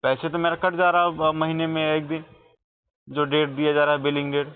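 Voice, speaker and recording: A man's voice: on the high side, monotone, fairly quick, smooth. Insistent Indian man on a tinny phone line. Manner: insistent, repetitive, aggrieved. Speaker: customer calling support with a complaint. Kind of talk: phone complaint call. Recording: tinny phone call recording.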